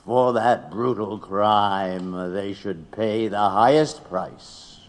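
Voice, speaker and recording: Actor as deliberate, righteous prosecutor in reverberant hall. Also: male voice, on the low side. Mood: grave, accusing. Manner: deliberate, leading. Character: righteous, insinuating male prosecutor in an 1880s trial. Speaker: actor in an audio drama. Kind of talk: theatrical courtroom oratory.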